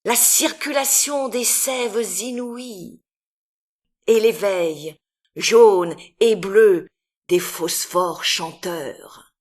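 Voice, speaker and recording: A woman reads literary verse aloud with a mid-range voice. Her delivery is extremely slow and deliberate, leaving long pauses between phrases. The recitation is dramatic and expressive. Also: mid-range voice. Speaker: poetry reader.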